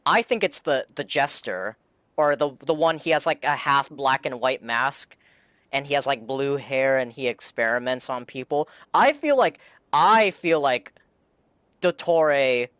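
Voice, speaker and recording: A man's voice: medium pitch, lively intonation, a little slow, a bit bright, clear, resonant tone, dry recording. A man giving casual, rambling live reaction commentary as a game streamer on a close microphone. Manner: rambling, informal speculation.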